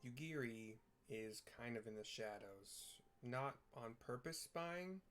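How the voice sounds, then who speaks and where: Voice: medium pitch, slow pace. A gamer giving casual audio description and commentary on game cutscenes in informal, blunt slang.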